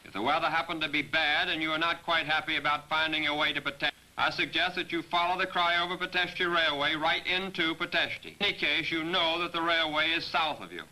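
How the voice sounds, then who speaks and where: A man narrating a scripted military training film as a briefing, in a measured, directive manner, on a 1940s film soundtrack. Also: a little high, steady tone, bright, forceful tone, rough recording. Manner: measured, directive, addressing aircrews. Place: voice-over recording for a 1943 film.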